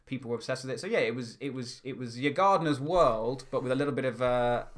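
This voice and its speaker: A man co-hosting a podcast talks at a brisk clip. He mostly chimes in with short, agreeable comments in casual chat. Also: medium pitch. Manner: brief, agreeable interjections.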